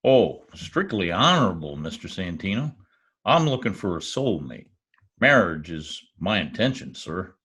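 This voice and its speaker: A man's voice: low voice, very animated, a little slow, a bit bright, breathy edge, exaggerated voice. A man playing a wry, wisecracking private detective who poses as a lonely oilman, in old radio drama style. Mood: folksy, playful. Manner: wry, quipping. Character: wisecracking male private eye posing as lonely Oklahoma oilman. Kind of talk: 1940s radio detective acting, first-person narration. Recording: remote video-call recording with music.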